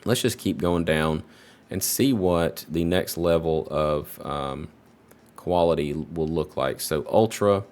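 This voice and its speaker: Man, very deep voice, laid-back rambling benchmark commentator, slightly off from recent illness. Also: slow pace. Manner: relaxed, rambling, many fillers. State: recovering from a virus, voice slightly off. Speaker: PC hardware benchmark creator. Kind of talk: casual gameplay benchmark commentary.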